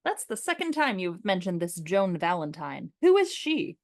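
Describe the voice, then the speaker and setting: A woman's voice: fairly low pitch, very animated, moderate pace, darkish tone, no room sound, clean studio sound. A woman playing a devoted, stubbornly confident young male suitor in a comic radio play, earnest and matter-of-fact. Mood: put out.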